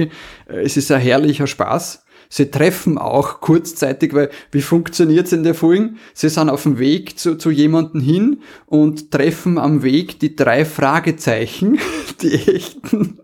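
A man's voice: on the high side, a little mellow. A man hosting a podcast, chatting casually with amused enthusiasm. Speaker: podcast host. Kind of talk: casual chat, recommending audio plays.

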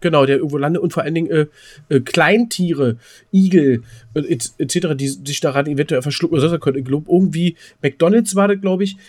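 A man's voice: fairly high pitch, fast, darkish tone. A man chatting casually as a podcast co-host, in a joking, rambling manner. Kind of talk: casual chat.

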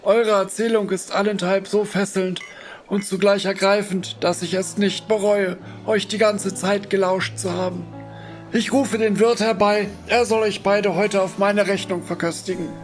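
An actor playing a skeptical, lordly medieval official questioning two travellers in a radio drama. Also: male voice, high voice, steady tone, normal speed, soft background music, lightly acted. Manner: doubting, lordly, then generous. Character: skeptical, haughty medieval lord or guard.

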